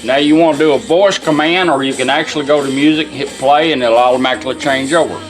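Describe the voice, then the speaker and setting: A man's voice: mid-range voice, fast. A plainspoken, folksy man giving a casual hands-on product walkthrough. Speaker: presenter demonstrating restored vintage car radios. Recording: music playing behind the voice. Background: music from the demonstrated radio.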